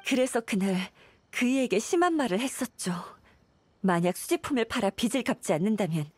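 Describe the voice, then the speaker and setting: High-voiced, weary, apologetic wife. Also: female voice, expressive, brisk, a little mellow, no echo. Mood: reproachful. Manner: polite, plaintive, earnest storytelling. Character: weary, apologetic wife and mother burdened by debts. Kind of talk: video game voice acting.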